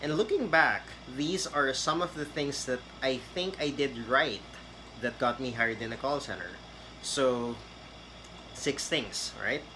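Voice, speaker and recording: A man's voice: medium pitch, measured pace, noisy background. A man, giving a casual, rambling tutorial on getting hired in a call center. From Philippines. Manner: chatty, rambling with fillers and restarts. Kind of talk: casual tutorial talk to camera.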